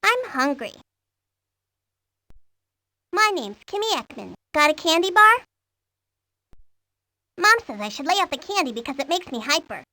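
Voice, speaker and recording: Brash, high, forceful tomboy kid. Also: female voice, sing-song, unhurried, pauses often, dry recording, exaggerated voice. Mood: brash, excitable. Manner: brash, blurting, confiding. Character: feisty, hyper tomboy young girl. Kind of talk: video game character monologue.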